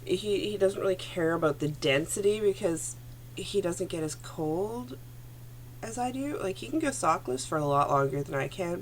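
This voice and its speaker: A woman's voice: sits low, vocal fry, a little hiss or hum, rough recording. A woman hosting a knitting podcast from home, chatting casually to her computer camera with a phlegmy throat, rambling and correcting herself as she goes. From Canada. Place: home room. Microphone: computer camera at desk.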